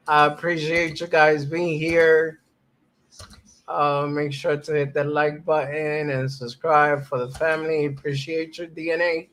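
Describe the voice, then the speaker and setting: A man's voice: on the high side, flat delivery, slow pace, fairly bright tone. A man hosting a casual livestream interview, laid-back and chatty, greeting his viewers. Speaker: host.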